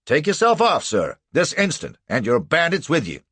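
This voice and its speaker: A man's voice: mid-range voice, wildly swooping pitch, unhurried, sharp tone, breathy edge, no echo, pitched above the performer's own voice, full character voice. A man voicing a blustering, stout older male judge who splutters with indignation. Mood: flustered.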